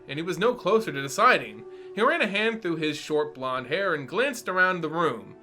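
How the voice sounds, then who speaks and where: A man hosting and narrating a fantasy story in a theatrical, expressive scripted reading. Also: a little high. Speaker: host and storyteller. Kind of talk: dramatic scripted narration with channel intro. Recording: music under the voice. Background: background music.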